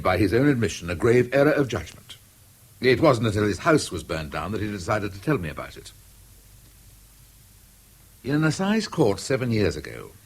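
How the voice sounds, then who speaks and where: An actor playing a sceptical police inspector in a radio drama, formal, incisive and deliberate, with an English accent. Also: male voice, lowish voice, slightly rough, heavily acted. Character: probing, sceptical male police inspector, brusque with subordinates. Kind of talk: 1970s British radio drama acting.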